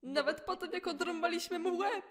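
A woman playing a mocking, sadistic demon child who possesses a girl, in an amateur comic dub, taunting with drawn-out words and outbursts. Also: very high-pitched, fairly bright tone. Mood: cruel mockery.

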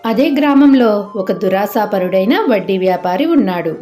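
A woman's voice: mid-range voice, expressive, normal speed, warm tone. Female storyteller over faint music. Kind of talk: scripted children's moral story narration.

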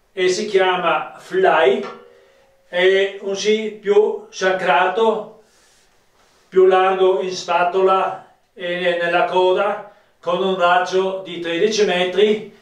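A man in his fifties with a South Tyrolean accent and a high voice presents products slowly and plainly, halting now and then. The sound is roomy, as if picked up across a room. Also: recorded from a distance. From South Tyrol, Italy. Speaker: ski maker presenting own products. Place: small wood-panelled rooms of an old farmhouse.

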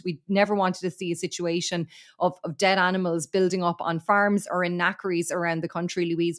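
An Irish woman with a fairly low voice, reporting farm news on a podcast. She talks in a steady, detailed manner, with the odd filler word, into a studio microphone.

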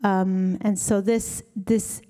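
A middle-aged woman from Argentina answering reflectively as a guest lecturer, earnest and measured, into a handheld microphone on an auditorium stage. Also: medium pitch, little pitch movement, warm tone.

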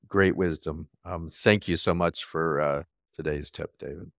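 A middle-aged man hosting in a conversational coaching style, emphatic and deliberate, on a headset mic. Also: very deep voice, fairly even intonation, vocal fry, fairly bright tone, dry recording, clean studio sound. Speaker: host. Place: office, video call.

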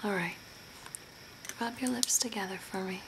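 A young woman playing an eerie, calm zombie makeup artist in a soft breathy whisper, gently giving instructions in an ASMR role play. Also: mid-range voice, lightly acted. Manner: slow, deliberate, gently instructing. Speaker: ASMR host. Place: small room at home by a window. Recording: noisy home recording, background hiss. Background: clear background noise.

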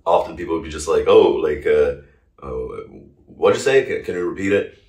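Interview guest: man, thirties, from Brazil, native Portuguese speaker, deep-pitched, casual storyteller, echoey room recording. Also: animated, normal speed, a little mellow. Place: indoor living room, on a sofa. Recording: roomy, distant microphone.

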